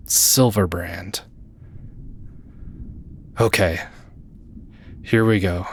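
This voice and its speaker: A man's voice: low-pitched, fairly even intonation, drawn-out words, a little hiss or hum, heavily acted. A man playing an earnest, anxious male adventurer who hesitantly thinks aloud in a fantasy audio drama. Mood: awed, concentrating.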